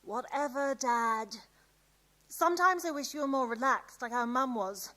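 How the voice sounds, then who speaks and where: An independent teenage daughter in a radio play. Her voice is very high and girlish, and she sounds like someone keen to reassure a parent and go her own way. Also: a little slow, pushed and bright. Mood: confident, impatient. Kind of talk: radio drama acting.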